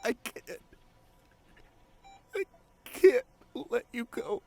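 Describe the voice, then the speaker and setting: A man playing a grieving, devoted boyfriend in an emotional ASMR audio roleplay, pleading haltingly through tears with his voice breaking. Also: very high-pitched, wildly swooping pitch, vocal fry, darkish tone, heavily acted. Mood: pleading, tearful. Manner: halting, repeats phrases, voice breaking into sobs. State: crying. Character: grieving devoted boyfriend pleading at comatose partner's hospital bedside.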